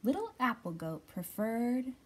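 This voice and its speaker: A woman's voice: fairly high pitch, sing-song, measured pace, slightly rough. A woman in her thirties hosting a children's storytime, reading and talking expressively to young listeners as a librarian. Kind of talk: picture book read-aloud and storytime talk for young children.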